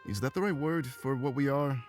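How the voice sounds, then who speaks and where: A man's voice: medium pitch, fairly quick, a little mellow, close to an everyday voice. A man voicing a devoted, frank warrior beast-man who is eager for combat in a visual novel game. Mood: tender, coy. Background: game music.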